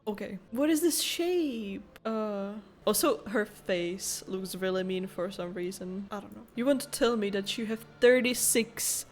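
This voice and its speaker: A woman's voice: mid-range voice, measured pace, hardly any pauses, darkish tone. A woman giving casual, informal commentary as she plays a video game, musing aloud. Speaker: gamer, first-time video creator. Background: faint game music.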